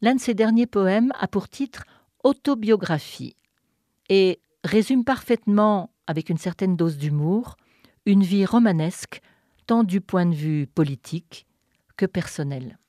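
A woman hosting a radio show, reading a poem aloud in a measured, deliberate way with pauses. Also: medium pitch, animated, dark tone, no room sound, clean studio sound. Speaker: radio host. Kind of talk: scripted narration and poetry reading.

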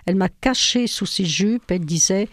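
A woman's voice: mid-range voice, expressive, moderate pace, no echo. An older woman whose first language is Ukrainian, recounting her life as an interview guest, hesitant and full of fillers. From France. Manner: hesitant, many fillers, storytelling. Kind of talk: personal testimony in an interview.

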